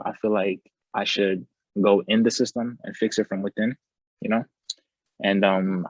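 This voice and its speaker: A young man talks through a video call in a loose, meandering way, leaning on fillers and restarts. His voice sits fairly low and stays flat, with a slightly husky edge. The audio is dry and muffled. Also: no echo. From New York. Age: young adult. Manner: rambling, filler-heavy, laid-back. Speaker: student presenter. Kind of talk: casual video call presentation.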